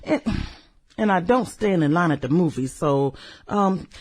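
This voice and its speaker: Woman acting sultry demanding businesswoman, radio satire, breathy voice, swooping intonation, unhurried, theatrical, coquettish. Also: fairly low pitch, expressive, a little mellow. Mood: dismissive, impatient. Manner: theatrical, coquettish, drawn-out exclamations and giggles. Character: sultry, demanding, manipulative businesswoman juggling rich lovers.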